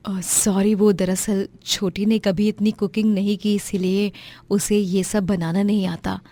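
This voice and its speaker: A woman voicing a gentle, apologetic elder sister and new bride, explaining hesitantly in a family story. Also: mid-range voice, flat delivery, a little slow. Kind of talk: dramatized Hindi family story.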